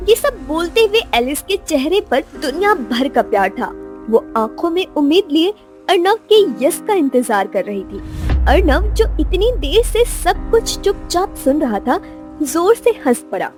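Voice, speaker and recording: A woman's voice: sits high, big pitch swings, unhurried, darkish tone, faint music behind. A woman narrating a scripted romance story in a dramatic style.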